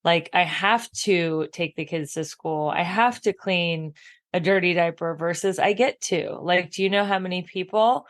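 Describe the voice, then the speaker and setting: Lowish froggy morning voice, middle-aged woman chatting, lots of "like". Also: moderate pace. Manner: emphatic, uses lots of "like". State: husky morning voice. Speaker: podcast guest, abundance mentor. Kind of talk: casual interview talk.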